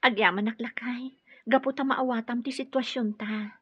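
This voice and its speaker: Affectionate wife role, radio drama, gentle husky voice, dry muffled recording. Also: female voice, a little high, steady tone, moderate pace, no room sound. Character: grateful, affectionate wife hoping for a child. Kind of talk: radio drama acting in Ilocano.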